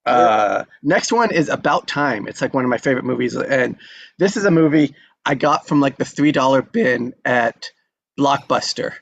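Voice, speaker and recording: A man's voice: medium pitch, lively intonation, moderate pace, gravelly, dry recording. A man hosting a live online table read, talking in a chatty, rambling, encouraging way. His voice comes through a video call, dry and a little dull. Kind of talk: casual chat.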